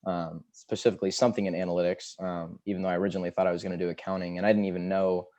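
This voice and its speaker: A young man from Seattle, a student introducing himself on a video call, relaxed and thinking aloud with fillers. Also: sits low, rapid-fire. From Seattle, USA. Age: young adult. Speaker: student scholarship recipient.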